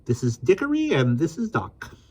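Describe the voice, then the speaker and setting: A man reading a storybook aloud, voicing a shy, gentle little woman who is a young mother. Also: a little high, wildly swooping pitch, moderate pace, slightly rough, voice pushed up, exaggerated voice.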